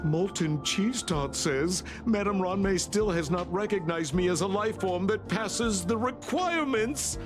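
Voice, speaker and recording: A man's voice: high-pitched, animated, unhurried, hardly any pauses, loud music behind the voice. A man voicing a zealous, fawning devotee from a video game in a melodramatic, grandiose read-aloud. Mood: reverent, excited. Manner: melodramatic, grandiose, swooping delivery. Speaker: game streamer.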